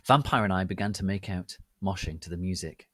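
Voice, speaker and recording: A man narrating a story as a dramatic reading, in a deliberate and theatrical manner. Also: low-pitched, a bit bright, no echo, clean studio sound.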